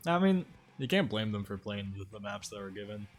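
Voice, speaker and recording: Low-voiced man chatting on a game stream. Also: sing-song, fairly quick. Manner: informal, slangy. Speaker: video game livestreamer. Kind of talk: casual gaming stream commentary, chatting with viewers. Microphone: streaming microphone. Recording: music playing behind the voice. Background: music.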